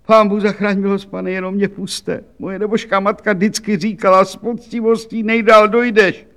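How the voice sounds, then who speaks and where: A man voicing a poor elderly washerwoman who sobs and pleads in a high, breathless voice. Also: flat delivery, fairly bright tone. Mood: pleading.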